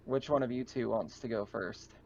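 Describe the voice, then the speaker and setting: A man's voice: mid-range voice, normal speed, vocal fry, a little mellow. A man acting as game master, talking casually as he runs a tabletop roleplaying game. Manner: casual, conversational. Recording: dull, lo-fi mic with faint noise.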